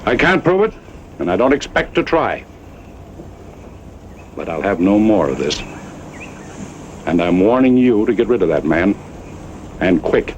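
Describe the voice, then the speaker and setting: Casting: proud old American rancher, radio Western. Grave, plain-spoken, on the low side, muffled recording with faint noise. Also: male voice, big pitch swings, a little slow, pauses often, darkish tone. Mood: stern warning. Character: stern, proud old cattle baron of the range. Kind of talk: Western radio drama acting.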